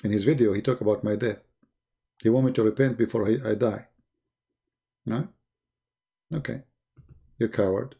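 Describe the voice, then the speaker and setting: Husky, gravelly man rambling on a live stream. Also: fairly low pitch, steady tone, normal speed, pauses often, mellow. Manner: teasing, sarcastic, repeats phrases. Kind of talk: rambling religious debate live stream chat.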